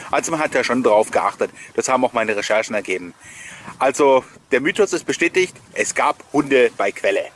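A man gives an informal voice-over in the manner of a host sharing memories with his viewers. He rambles amiably through anecdotes at a middle pitch and tends to chuckle. There is some audible background noise behind him. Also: expressive, a little fast, fairly bright tone. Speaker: host of a collectors' nostalgia video channel. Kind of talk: chatty voice-over commentary with personal anecdotes.

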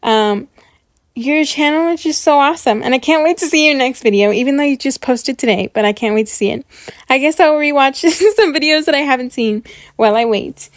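A woman hosting a casual, chatty reaction video, gushing and excitable, often repeating words for emphasis. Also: sits high, a little fast, no room sound, studio quality. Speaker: host of a reaction video series.

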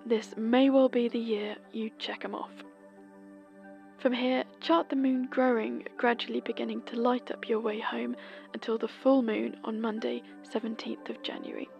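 Breathy British woman narrating an almanac. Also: fairly high pitch, little pitch movement, moderate pace, muffled recording, soft background music. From Britain. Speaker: podcast host. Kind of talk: scripted seasonal almanac narration.

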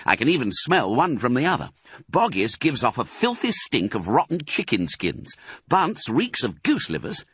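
A man's voice: mid-range voice, huge pitch swings, moderate pace, fairly bright tone, slightly rough, dry recording, pitched above the performer's own voice, heavily acted. A man doing a cocky, charming fox. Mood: fond, boastful. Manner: cocky, affectionate. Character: sly, charming male fox, a devoted husband.

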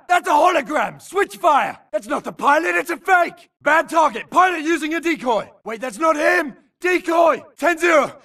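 An actor playing an urgent, alert infantry grunt in a video game, shouting clipped military callouts in battle. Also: male voice, extremely high pitch, recorded from a distance, full character voice. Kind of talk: shouted combat barks.

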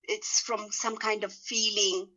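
A woman's voice: medium pitch, flat delivery, slow, pushed and bright, lo-fi sound. A middle-aged woman raised in Ethiopia, with Amharic as her first language, answers interview questions thoughtfully, hesitating and restarting often. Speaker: guest writer and translator. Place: indoors, small room.

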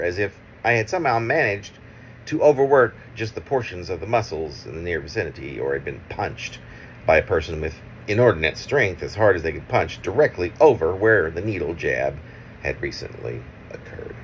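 Middle aged guy reading his poem at home on a phone, low creaky voice, stop-and-start phrasing, bit of room noise. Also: animated, normal speed. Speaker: poet reading own work. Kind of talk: poetry reading with casual asides. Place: small room at home, indoors. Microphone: phone at arm's length.

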